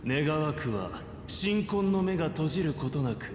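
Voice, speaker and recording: A man's voice: fairly high pitch, sing-song, fast talker, a little hiss or hum. An actor voicing a proud, zealous man of an ancient people in a video game, declaiming solemnly and haughtily. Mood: solemn proclaiming. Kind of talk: Japanese video game voice acting. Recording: echoing long hall reverb over music.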